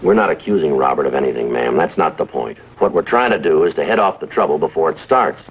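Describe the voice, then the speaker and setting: A man's voice: rumbling low, lively intonation, quick pace, husky, heavily acted. A man playing a stern, methodical American police sergeant in a 1950s TV crime drama, clipped and matter-of-fact. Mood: firm, polite. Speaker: narrator and lead police sergeant. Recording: muffled 1950s TV soundtrack, music underneath.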